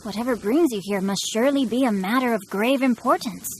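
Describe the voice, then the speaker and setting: A woman voicing a courteous, bookish young gentleman scholar in a video game, with flowery, self-assured speech. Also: on the high side, fairly quick, slight background noise, lightly acted, rough recording.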